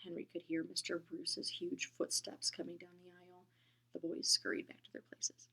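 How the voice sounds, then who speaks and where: A woman reading a children's book aloud, gentle and expressive, often dropping into a whisper. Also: fairly low pitch, normal speed, vocal fry, husky. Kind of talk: storybook read-aloud.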